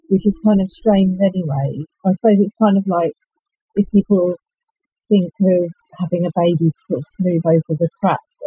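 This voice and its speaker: Hesitant woman, dark husky voice. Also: lowish voice, no echo, rough recording. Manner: hesitant, halting, self-effacing. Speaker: author being interviewed. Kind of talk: casual interview chat.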